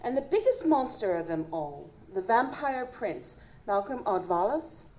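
A woman playing an elegant, composed vampire in a noir audio drama, formal, poised and deliberate. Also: on the high side, big pitch swings, darkish tone, low-quality recording. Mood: silky, persuasive. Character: elegant, composed female vampire who hunts monsters. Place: live stage performance at a convention. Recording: live stage, faint background noise.

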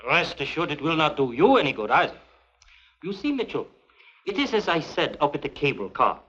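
Muffled 1950s TV spy drama, coldly polite foreign villain, lively swooping pitch, formal phrasing, menacing. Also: male voice, fairly quick, husky, full character voice. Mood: threatening. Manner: formal, mock-courteous, precise phrasing. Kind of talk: early 1950s television spy drama acting. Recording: muffled vintage 1950s TV soundtrack.

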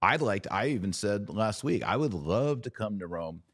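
Very deep-voiced man, dry close sound. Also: lively intonation, moderate pace, no echo. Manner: emphatic, repeats words and asks rhetorical questions. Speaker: podcast host. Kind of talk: conversational opinion commentary.

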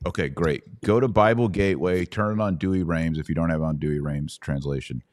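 A middle-aged man with a low-pitched voice hosts a live Bible Q&A podcast. He teaches in a conversational, didactic way and puts questions to his listeners as he explains. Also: fairly quick, a little mellow. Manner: didactic, asks checking questions.

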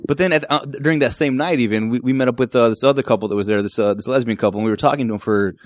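A man's voice: medium pitch, no echo. A man whose first language was Spanish, co-hosting a podcast and telling rambling stories with many restarts. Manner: fast, rambling storytelling with restarts. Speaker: podcast co-host. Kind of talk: casual chat.

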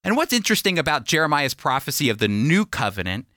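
A man teaching the Bible as a broadcast host, with emphatic, deliberate phrasing that rises and falls, close on a studio microphone. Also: fairly high pitch, sing-song, sharp tone, no room sound. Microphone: close studio microphone, dry.

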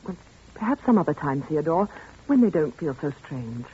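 An actress playing a gentle, anxious family friend, soothing and hesitant, in 1940s radio suspense style. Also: female voice, sits low, very animated, moderate pace, a little hiss or hum. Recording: muffled 1940s radio recording.

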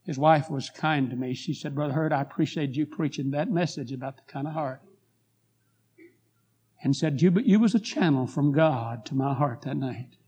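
A hoarse man preaching a revival sermon in a church, telling a story and building to fervent appeals. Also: fairly high pitch, normal speed. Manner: rising to fervent pleas, then quiet prayer. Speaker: guest preacher. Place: church sanctuary.

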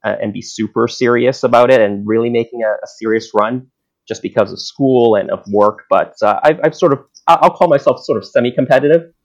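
A man's voice: mid-range voice, fairly quick. A man from Hong Kong, in his thirties, talking casually as an interview guest, with many fillers and restarts. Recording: dull lo-fi audio.

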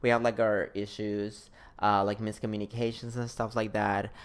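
A young man from Chile joins a casual podcast chat. His voice sits a little low, and he talks haltingly with plenty of likes and uhs. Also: level tone, smooth. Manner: halting, filler-heavy, laughing easily. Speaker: podcast guest.

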